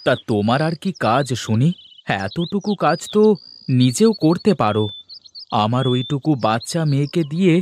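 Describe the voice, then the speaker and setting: A man playing a caring village father and mildly reproachful husband in an animated story, with a fussing, expressive manner. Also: mid-range voice, moderate pace, slight background noise, close to an everyday voice. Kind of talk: Bengali animated cartoon story acting.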